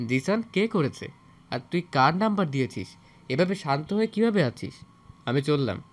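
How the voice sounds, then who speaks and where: Hot-headed best friend played by a man, young, animated, slightly high, audio story. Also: moderate pace. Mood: agitated. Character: agitated, impatient young man, hero's hot-tempered friend.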